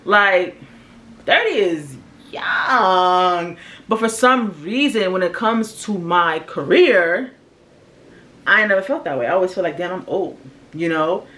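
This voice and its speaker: A woman's voice: on the low side, expressive, slow pace, fairly bright tone. A woman in her early thirties with an American accent, talking candidly and casually to her viewers in slangy, conversational language. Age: early 30s. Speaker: vlogger. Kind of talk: casual personal reflection to viewers.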